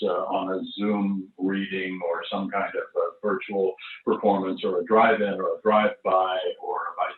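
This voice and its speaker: An older man explaining things as a guest in a measured storytelling way, on an echoey, distant, thin recording. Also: deep voice, narrow, tinny audio. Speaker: guest, actor and theatre producer. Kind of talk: conversational explanation.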